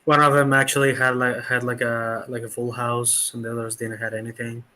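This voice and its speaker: A man's voice: mid-range voice, flat delivery, fairly quick, pushed and bright. A man running a tabletop game as game master, describing scenes with lots of hesitations. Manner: hesitant, full of fillers and restarts. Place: home setup on a livestream.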